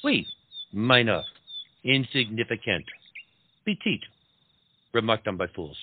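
An actor playing an urbane French marquis and officer, a loyal friend who coaxes and reassures, dropping French words into comic dialogue. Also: male voice, fairly low pitch, very animated, slow pace, phone-quality sound, a little hiss or hum. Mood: coaxing, patient. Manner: coaxing, sprinkles speech with French words. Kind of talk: theatrical comedy audio-play acting.

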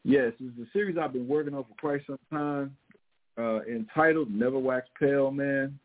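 Husky-voiced man on a tinny line. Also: medium pitch, normal speed. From Missouri, American Midwest. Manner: conversational, emphatic, keeps addressing listeners directly. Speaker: show host and priest. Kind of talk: casual news commentary with scripture talk. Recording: tinny phone-like sound.

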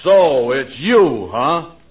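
A man's voice: a little high, very animated, very slow, breathy edge, full character voice. An actor playing a suspicious, indignant comic everyman in a 1940s radio comedy, with hesitant, folksy, ungrammatical speech. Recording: scratchy, tinny 1940s radio recording.

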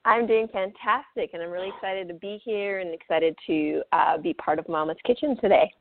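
A woman's voice: mid-range voice, fairly quick. A French-Canadian woman, French her first language, telling her life story candidly as a radio guest. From French-speaking Canada. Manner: earnest and candid, with fillers. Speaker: guest speaker and author. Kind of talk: personal life story in an interview. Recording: tinny phone-line call, dry.

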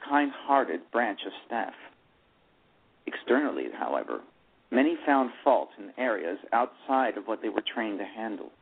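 A man narrating a radio drama in a slow, deliberate way. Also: mid-range voice, breathy edge. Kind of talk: scripted narration. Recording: tinny phone-line radio audio.

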